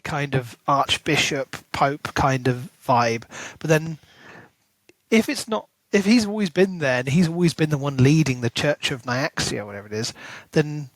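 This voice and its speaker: Higher-voiced man musing aloud. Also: no room sound, low-quality recording. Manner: speculative, thinks aloud with lively rises. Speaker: podcast co-host. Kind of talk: casual book discussion.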